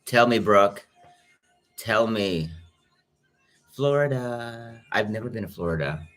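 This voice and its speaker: A man's voice: lowish voice, slow, fairly bright tone, faint music behind. A young man with a Filipino accent chatting casually on a livestream, greeting viewers by name as he talks. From Philippines. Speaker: YouTube reactor.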